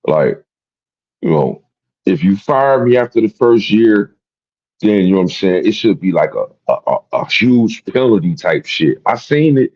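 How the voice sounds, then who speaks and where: A man's voice: on the low side, very animated, normal speed, darkish tone, highs cut off. A man hosting a sports talk show, rambling and repeating himself in casual, profane commentary. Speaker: sports podcast host.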